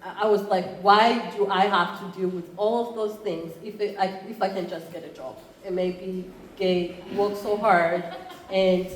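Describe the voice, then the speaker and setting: A woman's voice: mid-range voice, fairly even intonation, unhurried, slight background noise. A woman in her thirties from Rwanda with a Rwandan accent, giving a conversational conference talk with frequent fillers and restarts. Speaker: entrepreneurship program chair. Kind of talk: conference presentation. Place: conference room. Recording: roomy, faint background ambience.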